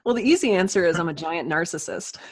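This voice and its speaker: A woman's voice: lowish voice, animated, fast, breathy edge, no echo. A woman speaking as a writer guest in a conversational interview, candid and self-deprecating.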